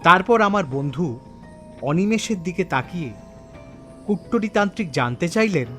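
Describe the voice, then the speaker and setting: A man giving a dramatic first-person narration of a horror story, with suspenseful, deliberate phrasing. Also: sits high, lively intonation, a bit bright, faint music behind.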